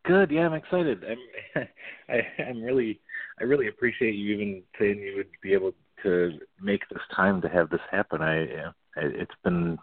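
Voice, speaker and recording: A young man hosting a baseball talk show, eager and halting, with many restarts and nervous laughs. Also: on the low side, dry recording. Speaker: podcast host. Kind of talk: casual interview. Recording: tinny phone-quality line, dry.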